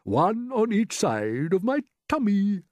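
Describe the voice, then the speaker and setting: Genial old storybook grasshopper, played by a man: avuncular, proud, swooping pitch, leisurely emphatic words. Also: huge pitch swings, takes it slowly, a little mellow, dry recording, exaggerated voice. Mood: gentle, teasing. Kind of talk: storybook character voice.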